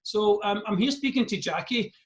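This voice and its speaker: A man's voice: high voice, normal speed. A Scottish man from Scotland interviewing a guest over a video call, asking friendly, rambling questions. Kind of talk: remote interview.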